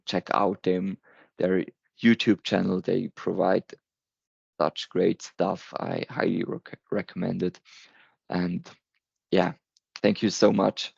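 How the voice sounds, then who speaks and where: Deep gravelly man with German accent. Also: darkish tone, dry recording, dull audio. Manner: earnest, stumbles on words. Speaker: presenter. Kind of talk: recorded conference talk.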